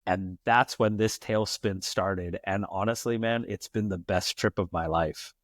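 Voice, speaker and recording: A man's voice: sits low, fairly even intonation, a little slow, dry recording, clean studio sound. An American man speaking as a podcast guest, telling stories in an emphatic, philosophical way and building his points in long runs. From United States. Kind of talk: reflective conversational storytelling.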